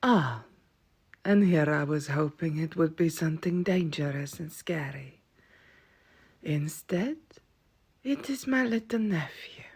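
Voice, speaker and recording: A woman playing an ancient, world-weary Viking-born vampire aunt in an audio roleplay, wry and stern, with a husky, breathy voice and archaic wording. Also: deep voice, lively intonation, darkish tone, heavily acted. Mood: wry amusement. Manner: slow, dry, archaic wording.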